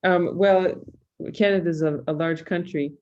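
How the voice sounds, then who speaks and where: Female interview guest, Californian American, thoughtful and halting conversational delivery, slightly muffled lo-fi recording. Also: fairly low pitch, steady tone, darkish tone. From California, United States. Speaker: interview guest, musicologist and fiddler.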